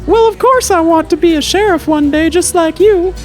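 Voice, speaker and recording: A woman's voice: very high voice, moderate pace, darkish tone, soft background music. A woman playing a precocious, earnest boy who speaks in wordy, formal, pompous phrases in a radio drama.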